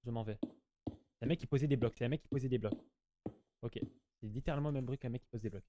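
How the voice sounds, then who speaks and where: A man's voice: medium pitch, fast, noisy background. A man giving casual, slangy gameplay commentary, chatting to his viewers and often repeating himself. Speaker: gaming YouTuber.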